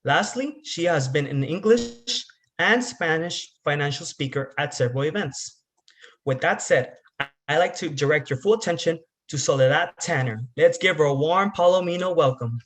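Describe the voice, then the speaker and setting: A man's voice: on the high side, animated, moderate pace, a bit bright. A man acting as master of ceremonies, reading a formal scripted introduction of a guest speaker. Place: online video event.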